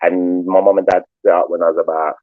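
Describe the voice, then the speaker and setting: A man's voice: low voice, level tone, fast, dry recording, phone-quality sound. A man from England, talking loosely in a casual group chat and filling his speech with "like" and "you know". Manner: laid-back, rambling, says "like" and "you know" a lot. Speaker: panel guest.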